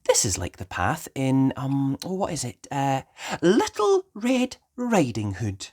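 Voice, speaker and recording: A polite mummy pig from a children's storybook, put on by a woman reader. The voice is lifted high and swoops about in a very animated sing-song. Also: slow pace, heavily acted. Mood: thoughtful. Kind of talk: read-aloud children's storybook voice.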